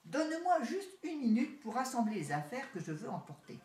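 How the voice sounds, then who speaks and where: A woman's voice: a little high, very animated, moderate pace, a little mellow, voice pushed up. A woman voicing a modest, simple male country rat in a storybook tale. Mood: humble. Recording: roomy echo.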